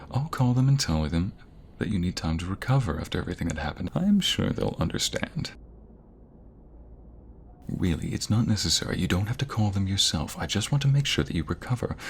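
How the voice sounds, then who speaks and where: Low, gravelly obsessive firefighter played by a man. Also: slight background noise, heavily acted. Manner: coaxing, softly insistent, hesitant stammers. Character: male firefighter, obsessive captor posing as caring rescuer. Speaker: audio roleplay voice actor. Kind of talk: intimate audio roleplay acting.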